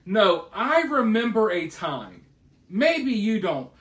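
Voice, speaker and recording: A man's voice: sits high, big pitch swings, takes it slowly, fairly bright tone. A man giving casual, opinionated commentary on breaking news as the host of a vlog. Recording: roomy, echoey voice recording.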